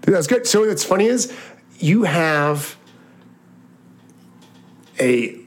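A man with a low voice interviewing a rapper in casual chat, excitable and quick to restart his sentences.

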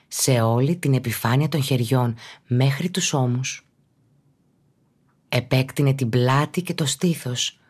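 Husky low-key woman guiding meditation. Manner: calm, measured, instructional. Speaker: yoga and meditation teacher, podcast host. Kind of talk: guided relaxation meditation narration.

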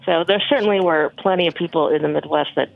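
A chef from Michigan talking casually as an interview guest, relaxed and rambling, with many ums and restarts. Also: mid-range voice, fast, creaky, dry recording. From Michigan, USA. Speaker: chef and cookbook author, guest. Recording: tinny phone line, dry.

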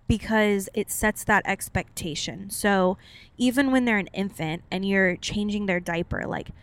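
A young woman co-hosting a podcast, chatting casually and a bit long-windedly, with frequent laughs and "like" fillers. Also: mid-range voice. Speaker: podcast co-host. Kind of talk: casual chat.

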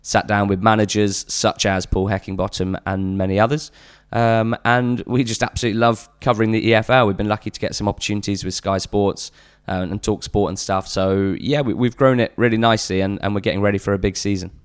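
A man's voice: lowish voice, fairly even intonation, fairly quick, clean tone. An English man guesting on a football podcast, chatting earnestly with lots of fillers and restarts. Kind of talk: casual podcast chat.

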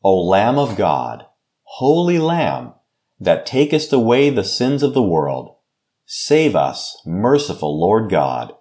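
A man, a pastor, giving a devotional and reading scripture aloud in a slow, measured way. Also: lowish voice, huge pitch swings, darkish tone. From United States.

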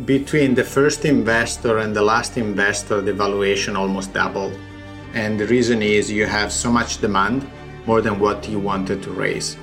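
A man with a noticeable Italian accent talks through startup numbers one step at a time. His voice sits fairly low and has a gravelly edge. He speaks the way a founder does in a recorded interview. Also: steady tone, moderate pace, fairly bright tone, soft background music. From Italy.

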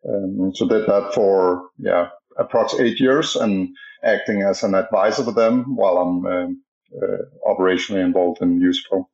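A man's voice: deep voice, moderate pace, creaky, darkish tone, dull audio. A man from Denmark with a Danish accent, a startup co-founder talking as a podcast guest, with many fillers and restarts. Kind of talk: casual interview talk.

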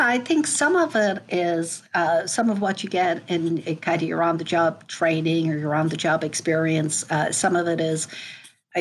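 Irish woman, measured and reflective. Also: sits low, slight background noise. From Ireland. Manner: measured, reflective, with small restarts. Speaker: university IT executive, guest. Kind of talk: conversational interview.

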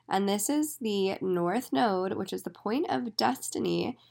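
Raspy-voiced enthusiastic woman podcaster. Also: mid-range voice, expressive, unhurried, a little mellow. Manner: enthusiastic, effusive, talks in spiritual terms. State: hoarse after days of yelling. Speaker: podcast host and astrologer. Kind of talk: casual solo podcast monologue.

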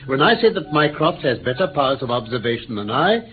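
A man with an English accent playing a precise, self-assured gentleman detective in a radio play, dry and immodest in manner. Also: mid-range voice, sing-song, brisk, lightly acted. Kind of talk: mid-century British radio play acting. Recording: narrow-band old radio recording, faint hiss.

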